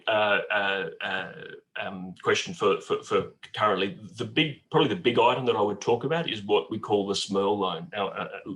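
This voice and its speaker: A man in his forties with a croaky cold voice, speaking haltingly with many fillers as a bank guest on a webinar. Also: fairly low pitch, a bit bright. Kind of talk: webinar talk answering questions. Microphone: video call.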